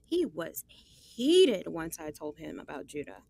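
A woman's voice: sits low, big pitch swings, unhurried, vocal fry, darkish tone, full character voice. An actress playing a guarded but smitten young woman, chatting in sassy, slangy style with her best friend in an audio drama. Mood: exasperated. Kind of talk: casual conversational audio drama.

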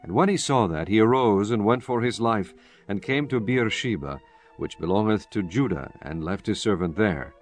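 Low-voiced solemn male Bible narrator. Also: lively intonation. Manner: solemn, measured storytelling. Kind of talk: scripted dramatized Bible narration. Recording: music bed under the voice. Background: background music.

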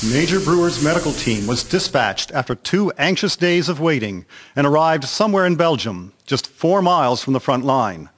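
A man narrating a historical radio drama from a script in a measured, formal reading. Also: fairly high pitch, sing-song.

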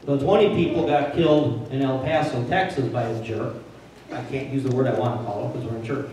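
A man's voice: medium pitch, steady tone, fairly quick, dark tone, a little hiss or hum. An older man preaching a sermon from the front of a church on a handheld mic, plainspoken and conversational, rising to urgent emphasis. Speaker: pastor. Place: large church sanctuary. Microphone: handheld mic, filmed from a distant camera. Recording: very echoey church from far off.

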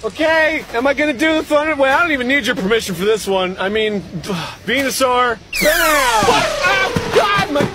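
A man's voice: extremely high pitch, wildly swooping pitch, normal speed, music in the background, exaggerated voice. A man voicing a foul-mouthed, aggrieved battle creature in a cartoon parody, whiny and strained as he rants. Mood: angry, pained. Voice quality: strained, shouty. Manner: whiny, sweary ranting. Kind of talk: comedy cartoon parody acting.

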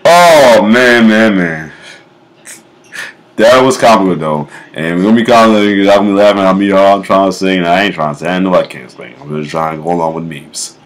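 A man in his thirties with a low bass voice, casually reacting to memes at home, laughing often and breaking into off-key singing. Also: brisk. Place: home kitchen, indoors. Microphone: webcam at close range.

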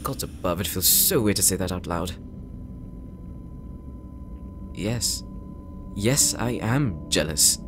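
An actor playing an earnest, insecure young knight in an audio roleplay, speaking gently and hesitantly, as if confiding in his sister. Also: sits low, lively intonation, measured pace, over music. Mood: wistful, jealous. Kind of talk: audio roleplay drama, intimate monologue.

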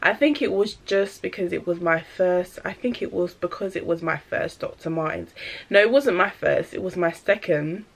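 A woman, chatting casually in a product review vlog, a little rambling and full of fillers, her voice slightly deepened by a cold. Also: moderate pace. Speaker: vlogger. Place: small bedroom indoors.

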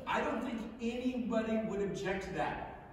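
A man's voice: high-pitched, moderate pace, crowd noise. A man delivering a persuasive speech in a wry, conversational way from a podium. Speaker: speaker at a podium. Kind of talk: staged reading of a persuasive speech. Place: large theatre stage, indoors. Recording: echoey theatre hall from far off.

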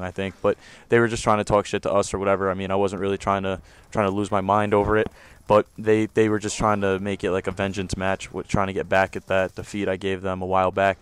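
A young man with a deep voice giving loose, rambling commentary over his own gameplay, recorded on a close home mic. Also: clean tone. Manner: rambling, self-correcting, loose slang. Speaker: gaming commentator.

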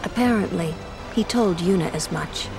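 Cool stern game heroine, lowish creaky voice, dry and sardonic, choosing words carefully. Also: female voice. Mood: grave, measured. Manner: measured, pointed, dry. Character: stern, cool, sardonic young woman, protective. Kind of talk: video game cutscene acting. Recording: music behind the voice.

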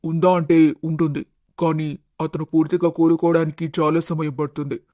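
A man voicing a composed, reassuring doctor in an animated family story, matter-of-fact in manner. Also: on the high side, moderate pace, natural voice.

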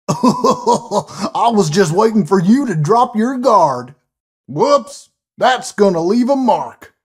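Husky mocking robot character, someone voicing it, kinda slow. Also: medium pitch, big pitch swings, full character voice. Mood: gleeful.

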